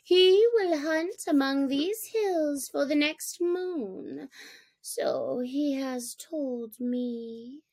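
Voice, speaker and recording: A woman's voice: sits high, animated, a little mellow, pitched above the performer's own voice, heavily acted. A woman voicing a sly male jackal scavenger in a storybook, fawning and mock-humble with a spiteful streak. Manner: obsequious, mock-humble, drawn-out wheedling.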